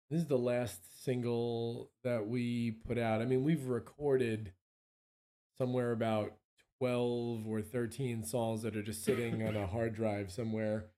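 A hoarse man, a musician guest, chatting casually on a local radio show with lots of ums and restarts. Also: medium pitch, mellow. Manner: rambling, many ums and restarts.

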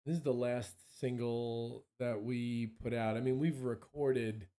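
A man with a hoarse voice and a dark, mellow tone speaks at a middling pitch. He talks as a songwriter guest in a casual interview, rambling and often interrupting himself mid-thought. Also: steady tone, unhurried. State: hoarse from allergies, clearing throat.

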